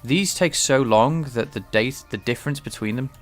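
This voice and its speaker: A man's voice: medium pitch, normal speed, soft background music. A man thinking aloud while live-coding and explaining his game engine, hesitant and full of restarts, sounding a bit stuffed-up and nasal from a cold. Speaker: game developer streaming his work. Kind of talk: casual live-coding commentary.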